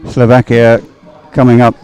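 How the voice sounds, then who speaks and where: A man's voice: mid-range voice, completely flat delivery, moderate pace, a little mellow, rough recording. A man giving live sports commentary on a race as it unfolds.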